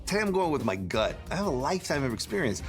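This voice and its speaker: A man's voice: on the high side, very animated, fast. A man, a game show contestant who talks confidently and chuckles as he speaks. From New York City. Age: 50s. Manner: confident, chuckling gambler's talk. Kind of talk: casual banter on a game show. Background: background music.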